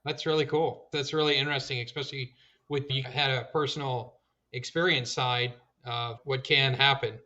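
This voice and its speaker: A man hosting an insurance podcast, upbeat and encouraging, giving quick reactions and asking open questions. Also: medium pitch, steady tone, bright, forceful tone, dull audio. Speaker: podcast host. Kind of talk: interview hosting.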